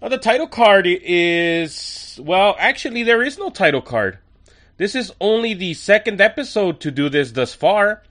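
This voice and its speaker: A man's voice: high voice, animated, unhurried, fairly bright tone. A man hosting a podcast and reviewing an episode in a chatty, enthusiastic way with wry asides, a little nasal from a cold. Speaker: podcast host.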